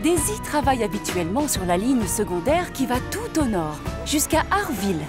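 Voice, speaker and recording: A woman's voice: a little high, very animated, normal speed. A woman reading scripted narration for a French children's cartoon as storyteller. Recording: music behind the voice.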